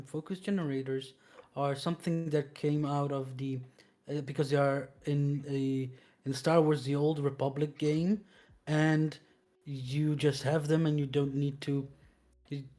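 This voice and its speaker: A man's voice: on the high side, flat delivery, a little slow, warm tone. A man playing a tabletop game online, casually explaining the rules to the group. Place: online voice call.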